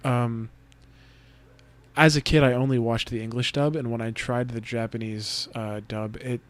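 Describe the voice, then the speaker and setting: A man's voice: medium pitch, level tone, moderate pace, dry recording. Laid-back man in his twenties. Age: 20s. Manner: laid-back, filler-heavy, crude joking. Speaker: podcast co-host. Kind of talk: casual chat.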